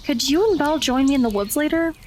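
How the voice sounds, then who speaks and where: A woman playing a modest, easygoing high school girl, plain and understated, in an audio drama. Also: fast, a little mellow, a little hiss or hum, close to an everyday voice. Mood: casual, calm.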